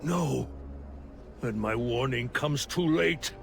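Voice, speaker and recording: An actor playing a weary older undead warrior baron in a video game, speaking gravely, formally and haltingly. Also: male voice, mid-range voice, slight background noise. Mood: grave, urgent. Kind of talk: video game character dialogue.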